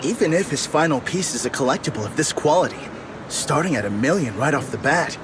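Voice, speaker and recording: A man playing a calculating, grumbling bidder in a video game, expressive and scheming. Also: on the high side, brisk, natural voice. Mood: resentful. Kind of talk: video game character acting. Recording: noisy ambience behind.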